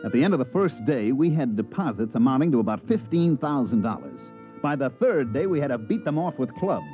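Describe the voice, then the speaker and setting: An actor playing a fast-talking male swindler and promoter in a 1950s radio drama, who also narrates the story with slick salesman patter. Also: medium pitch, big pitch swings, faint music behind, close to an everyday voice. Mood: narrating. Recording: dull vintage radio recording.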